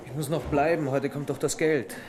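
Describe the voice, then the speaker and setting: An actor playing a cheeky, daydreaming young bank apprentice in a German radio play, chatty and flippant. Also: male voice, on the high side, steady tone, fairly quick, a crowd in the background, close to an everyday voice. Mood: envious.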